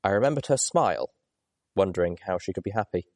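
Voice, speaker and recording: Low-voiced man reading a story. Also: lively intonation, quick pace, darkish tone, dry recording. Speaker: audiobook reader. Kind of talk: expressive first-person story narration.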